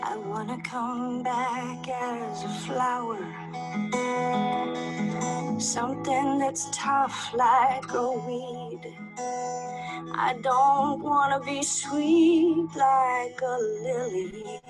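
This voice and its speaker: A woman's voice: high-pitched, steady tone, extremely slow. A woman singing a country folk song with guitar accompaniment.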